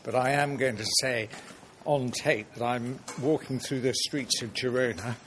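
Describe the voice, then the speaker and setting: A man's voice: mid-range voice, steady tone, a little slow, a bit bright, a little hiss or hum. A man hosting a walking podcast, interviewing a guest while walking outdoors through city streets. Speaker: podcast host. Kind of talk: scripted intro then casual interview.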